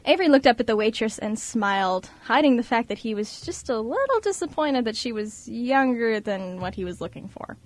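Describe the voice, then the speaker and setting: A woman's voice: on the high side, big pitch swings, a little fast, few pauses. A young woman on a student radio show, speaking casually and narrating scripts. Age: young adult. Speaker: board operator and narrator. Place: radio studio.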